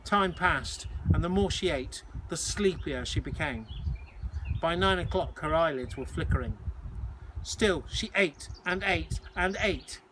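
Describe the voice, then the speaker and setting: A man reads a children's story aloud like a schoolteacher. His voice sits a little high and the narration is expressive. There is clear noise behind him, with birds chirping. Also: measured pace, poor audio. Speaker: teacher reading aloud.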